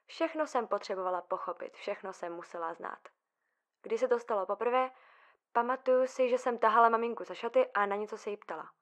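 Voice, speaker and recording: A woman acts out a distressed young female patient in a radio play. Her voice sits fairly high and she speaks with earnest insistence, as someone long shut away and tormented by her own questions. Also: lightly acted. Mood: wistful, recalling. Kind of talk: dramatic audio drama monologue and dialogue.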